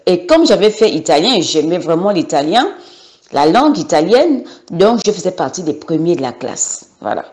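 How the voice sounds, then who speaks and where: A woman's voice: fairly low pitch, mellow. Hesitant woman giving a personal testimony. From Gabon. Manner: hesitant, many fillers and repetitions.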